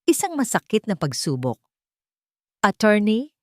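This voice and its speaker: Low-toned Filipino woman narrating a drama. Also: expressive, normal speed, a little mellow, dry recording, studio quality. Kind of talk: dramatic scripted story narration.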